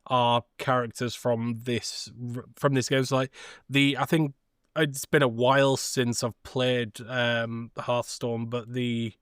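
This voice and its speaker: A man co-hosting a podcast, talking casually about film and often pausing to search for his words. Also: medium pitch, flat delivery, a bit bright, clean tone, dry recording, clean studio sound. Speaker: podcast host.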